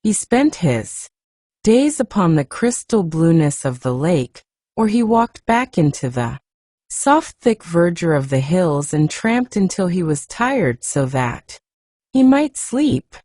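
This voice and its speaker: Woman reading a bedtime story real slow, mellow and soothing, close dry sound. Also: on the low side, big pitch swings, no echo. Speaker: audiobook narrator.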